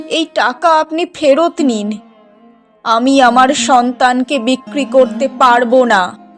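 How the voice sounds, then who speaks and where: A woman playing a weary, hard-pressed village mother in a cartoon drama, with a grave, emotional delivery. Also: high-pitched. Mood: remorseful, resolute. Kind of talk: Bengali moral-story cartoon voice acting. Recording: music bed behind the voice.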